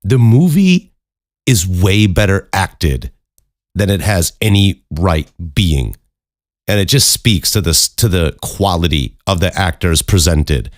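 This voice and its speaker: A man's voice: low voice, lively intonation, takes it slowly, dry recording. A man hosting a solo podcast in a casual, rambling, informal way, close to the microphone. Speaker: podcast host.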